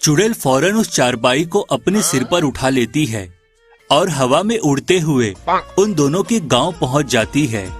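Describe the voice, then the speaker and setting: Male narrator for animated folk tales; scripted storytelling, fairly high register, light music bed. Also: a little slow.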